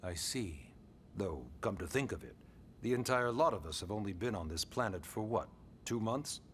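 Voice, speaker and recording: An actor playing a composed, authoritative middle-aged military commander in a video game cutscene, speaking in a measured, deliberate way with weighty pauses. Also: male voice, fairly low pitch, lively intonation, fairly bright tone, a little hiss or hum. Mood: calm, businesslike. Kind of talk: video game cutscene acting, expository dialogue.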